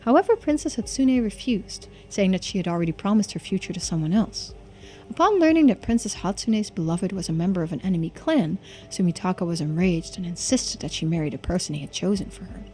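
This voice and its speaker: A woman streaming a game, reading its text aloud in a measured way with muttered asides and small stumbles. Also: on the low side, lively intonation, warm tone, soft background music, rough recording.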